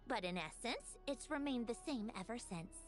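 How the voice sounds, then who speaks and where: A woman's voice: on the high side, animated, moderate pace, faint music behind, lightly acted. A woman playing a cool, haughty young noblewoman in a video game, speaking in a composed, aloof and precise way. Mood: matter-of-fact. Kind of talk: video game character acting.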